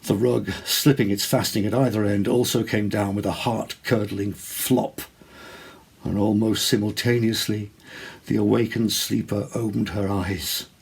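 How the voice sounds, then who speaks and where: A man reading a literary short story as an actor would, measured, expressive and deliberate in his dramatic narration. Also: fairly low pitch, vocal fry, slightly rough.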